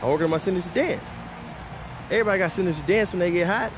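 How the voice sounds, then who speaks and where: A man's voice: sits high, racing, over music, close to an everyday voice. An American man playing a cocky, playful young rookie basketball player, boasting and teasing in a video game cutscene. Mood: boastful. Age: young adult. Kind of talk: comic game cutscene dialogue.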